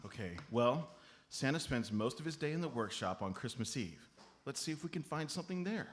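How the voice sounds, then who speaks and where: A man's voice: mid-range voice, animated, fairly quick, close to an everyday voice. A man playing an earnest, bossy amateur sleuth, stern and fussy, in a live comedy radio play. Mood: businesslike. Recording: noisy live stage recording.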